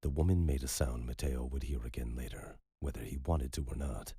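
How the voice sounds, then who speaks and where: A man narrating a dark story in a slow, brooding, measured way. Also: a bass voice, breathy edge, dry recording, clean studio sound.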